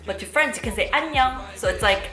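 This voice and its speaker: A woman's voice: on the high side, expressive, rapid-fire, pushed and bright. A woman, chatting casually and rambling in an unedited Q&A vlog while cutting fruit. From South Korea and Los Angeles. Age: 30s. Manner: chatty, rambling, often switching into Korean words. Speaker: YouTuber host. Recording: roomy echo with faint background music.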